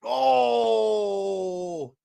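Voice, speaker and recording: A man in his thirties hosting a live-reaction stream, chatting casually and rambling with profanity and sudden loud excited outbursts. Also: high voice, extremely slow, gravelly, smooth, dry recording. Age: 30s. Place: home room at a table.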